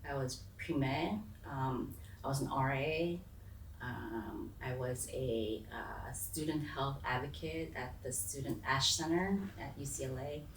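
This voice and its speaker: A woman's voice: extremely low pitch, slow pace. An American woman in her forties telling her life story as an interview guest in a candid, informal, digressive way. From raised on US military bases, largely in South Korea. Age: 40s. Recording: echoey room, distant mic, faint noise.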